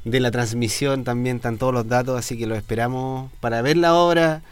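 A man hosting a local broadcast interview, asking brisk, chatty questions. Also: mid-range voice, steady tone, clean tone, dry recording. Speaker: interviewer, host.

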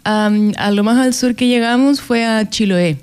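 A woman's voice: a little high, a little slow, darkish tone, clear, resonant tone. A woman from Santiago, a circus performer talking as a radio guest in a friendly way. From Santiago, Chile. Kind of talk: guest interview.

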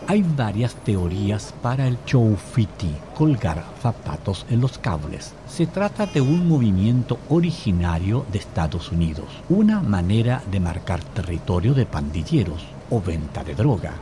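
A man explains a curious fact in a matter-of-fact radio narration. His voice sits low and moves in a lively way. Audible street and vehicle noise runs behind him. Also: unhurried, few pauses. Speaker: segment narrator. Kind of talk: scripted informational narration.

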